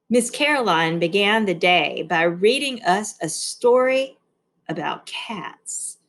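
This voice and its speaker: A woman with a medium-pitched voice reads aloud as a narrator. Her phrasing is deliberate and expressive, in the informal manner of someone guiding listeners through a book. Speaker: read-along narrator. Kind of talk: reading a novel aloud with informal explanatory asides.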